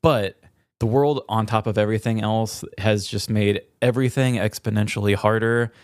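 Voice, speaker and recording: A man with a fairly low voice, recorded close and dry. He co-hosts a casual comedy podcast and speaks candidly, in an informal, earnest way. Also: steady tone, moderate pace, clear, resonant tone, no echo. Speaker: podcast co-host.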